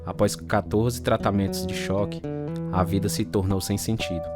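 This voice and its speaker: A man's voice: fairly low pitch, level tone, moderate pace, lightly acted. An actor playing a troubled, bitter alcoholic man in a dramatized first-person radio story, speaking with a Brazilian accent and grave delivery. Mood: grave, reflective. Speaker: narrator and protagonist of a radio testimony drama. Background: acoustic guitar music.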